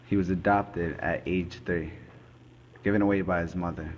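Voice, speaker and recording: Man playing a low-voiced, grave crime investigator. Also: fairly even intonation, moderate pace, muffled recording, slight background noise, close to an everyday voice. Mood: grave, deliberate. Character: male forensic investigator recounting a murder case, grave and methodical. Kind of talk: scripted dramatic monologue, amateur student production.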